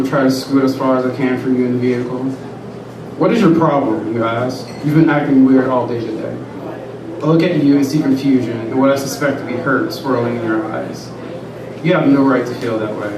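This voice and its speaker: A man reading his own first-person prose aloud at a live reading, in a quiet, measured way. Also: medium pitch. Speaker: student reader. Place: large room at a live reading. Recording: echoey room, far from the mic, noisy.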